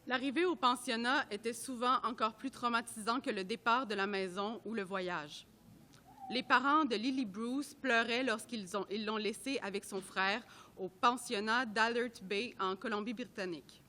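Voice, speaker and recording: A woman reading a report aloud at a public event, in a measured, careful way that sometimes trips over names. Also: on the high side, bright, forceful tone. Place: art gallery at a live event.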